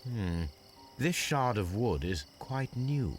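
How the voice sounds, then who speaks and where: An actor playing a shrewd, composed Victorian gentleman detective with an English accent, formal and measured, in a video game drama. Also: medium pitch, lively intonation, very noisy. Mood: pensive, observing.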